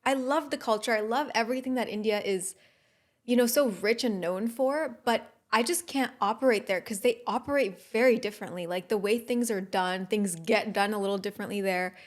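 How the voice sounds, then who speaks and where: Woman guest, mid pitch, talks in heartfelt loops with restarts. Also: fairly quick. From Los Angeles area. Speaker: podcast guest. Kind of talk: casual chat.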